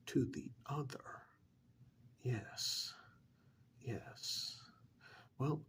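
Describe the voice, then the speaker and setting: A man playing a polite, flustered, earnest tax accountant in a soft-spoken ASMR role-play, hesitant, apologetic and often repeating himself in a whispery voice. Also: medium pitch, gravelly, heavily acted. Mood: fussy, earnest.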